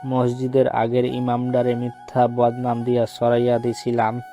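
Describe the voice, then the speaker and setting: Male actor as crooked, resentful villager in audio drama, rural Bangladeshi dialect, dark monotone delivery. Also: medium pitch, normal speed, faint music behind, close to an everyday voice. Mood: scheming, resentful. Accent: rural Bangladeshi Bengali dialect. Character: scheming, crooked village man, grumbling conspirator. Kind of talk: audio story acting.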